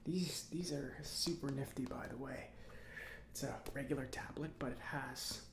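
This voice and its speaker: A man playing an eccentric, kindly mechanic in a soft-spoken ASMR roleplay, with halting, reassuring murmurs and a breathy voice. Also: mid-range voice, fairly even intonation, roomy sound, background noise, lightly acted. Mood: chatty. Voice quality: breathy whispering, creaky. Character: eccentric, giddy, kindly male government robot mechanic.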